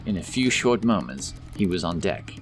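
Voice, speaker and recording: A man with a deep voice narrates a scripted fantasy tale. He serves as storyteller of an audio drama, with light music underneath. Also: big pitch swings, moderate pace.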